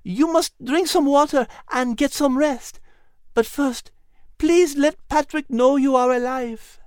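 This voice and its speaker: Guy doing a weepy emotional lady, sprinkles french words, way up high and swooping, dry close sound. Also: slow, dry recording. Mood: tender. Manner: high, crying, gushing. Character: emotional tearful woman using French words.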